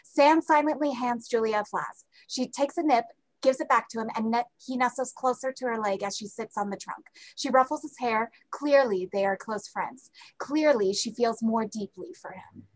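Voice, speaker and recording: A woman's voice: fairly high pitch, normal speed, poor audio. A woman reading out stage directions as scripted narration for a play. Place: indoors at home, on a group video call.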